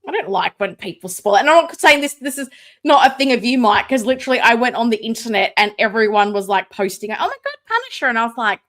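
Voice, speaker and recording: A woman's voice: very animated, fast, fairly bright tone. A woman hosting a live toy collecting stream, chatting casually, reading viewer comments aloud and unboxing a figure. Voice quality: self-described booming voice. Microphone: desk mic, moved away at times.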